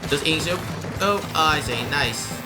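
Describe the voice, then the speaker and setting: Man, fairly high voice, game streamer, informal rambling chat, exclamatory, prominent music behind. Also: lively intonation, normal speed, low-quality recording. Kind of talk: casual gaming stream chat with viewers.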